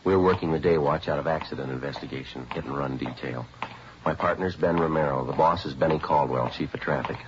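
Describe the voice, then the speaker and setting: An American man playing a terse, even-tempered police detective in a laconic, deadpan 1950s radio drama style. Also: extremely low pitch, quick pace, noisy background. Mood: matter-of-fact. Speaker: narrator and lead actor. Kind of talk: 1950s radio drama acting, clipped narration. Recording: muffled vintage radio recording, music behind.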